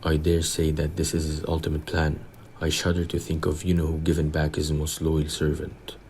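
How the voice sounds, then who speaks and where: A man plays a grave older government minister in an audio play. The voice is extremely low and level, measured and formal with a pompous weight. There is a faint noise behind it. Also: completely flat delivery, a little mellow. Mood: troubled.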